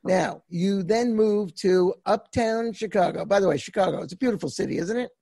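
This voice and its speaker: A man's voice: sits high, moderate pace, creaky, dark tone, no echo, clean studio sound. A man hosting a podcast interview, asking folksy questions with frequent restarts and fillers. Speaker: podcast host.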